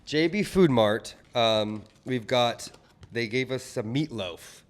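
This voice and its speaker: A Southern man co-hosting a local talk show, chatting loosely and restarting his sentences. His pitch is mid-range and his voice sits in the middle of the usual male range. He is recorded in a studio. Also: slow pace. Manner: rambling, self-correcting, chatty. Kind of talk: casual chat.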